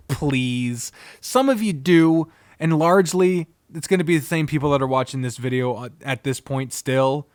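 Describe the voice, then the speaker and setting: A man's voice: medium pitch, dry recording. A man hosting a casual, unscripted review video, speaking bluntly and expressively with frequent swearing and rambling asides. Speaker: video host reviewing visual novels.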